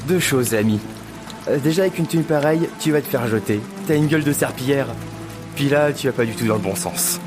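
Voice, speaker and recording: A chatty townsman acted by a man in an audio drama. He talks in familiar slang, teasing yet helpful, at a middling male pitch. Music plays clearly behind him. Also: a little fast, close to an everyday voice. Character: chatty working-class local townsman, mocking but helpful. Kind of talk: French audio drama dialogue.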